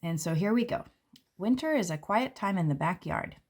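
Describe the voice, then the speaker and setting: A woman, a children's book author, reading her picture book aloud and walking through its pages in a gentle, explanatory way. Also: on the low side, expressive, normal speed, slight background noise. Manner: gentle, explanatory, with light fillers. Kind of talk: read-aloud and book walkthrough. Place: indoors at a table.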